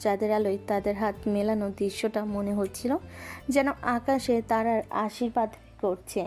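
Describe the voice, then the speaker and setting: Mellow woman narrating a love story. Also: mid-range voice, steady tone, normal speed, soft background music.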